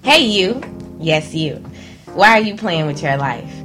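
A woman's voice: lowish voice, sing-song, unhurried, fairly bright tone. A woman reading a scripted radio advertisement as an insurance agent, addressing the listener in a direct, punchy way. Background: music bed.

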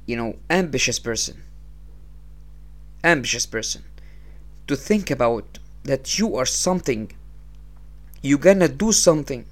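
Egyptian male podcast host, English, unhurried motivational talk, budget mic. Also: mid-range voice. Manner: unhurried, repeats phrases, non-native English. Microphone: small low-cost podcast setup.

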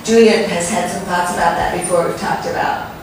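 A woman co-pastor leads a church women's discussion with an encouraging, affirming manner. She is recorded from across an echoey room, with steady background noise. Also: fairly low pitch, fairly quick. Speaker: co-pastor hosting a women's group. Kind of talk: warm church talk. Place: church resource center. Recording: echoey room from a distance, noisy.

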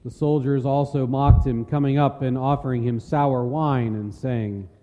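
A man's voice: mid-range voice, steady tone, unhurried, noisy background. A man narrating a solemn, dramatic scripture reading. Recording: long reverb of a large hall.

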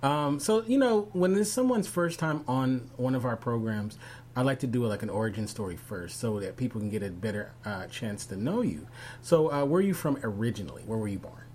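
A man's voice: medium pitch, lively intonation, a little fast, a little mellow. A man hosting an online interview show, asking questions in a hesitant way full of fillers. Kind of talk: casual interview. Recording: faint hum under the voice.

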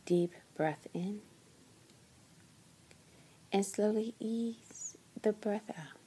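Very slow, hushed woman guiding meditation. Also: medium pitch. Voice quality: soft, breathy, largely whispered. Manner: soothing, gentle, with long pauses. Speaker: meditation guide. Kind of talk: guided meditation, ASMR-like.

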